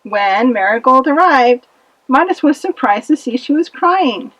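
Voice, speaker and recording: High, lively woman storyteller. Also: unhurried, dry recording, poor audio. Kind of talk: scripted children's story narration for a puppet show.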